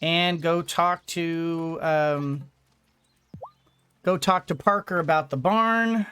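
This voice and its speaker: A woman streamer thinks aloud through casual gameplay. Her voice is smooth and clean, and her delivery is unhurried and halting. Light game music sits behind her. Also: lowish voice, fairly bright tone.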